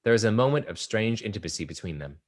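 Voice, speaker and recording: A man reading the stage directions aloud at a play table read, in a plain, measured manner. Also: on the low side, lively intonation.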